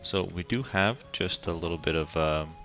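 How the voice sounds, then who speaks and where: A very low-pitched man talks through his city build in an easy, halting way, his voice raspy as he gets over a cold. His tone is bright and forward, and soft music plays behind him. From Colorado. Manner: relaxed, halting, frequent ums and restarts. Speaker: game commentator. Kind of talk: casual gameplay commentary.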